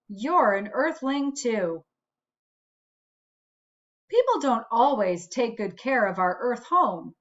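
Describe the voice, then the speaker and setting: A woman school principal reading a picture book aloud to children in an expressive, deliberate, teacherly way, with asides to her listeners. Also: a little high. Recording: dull, lo-fi recording.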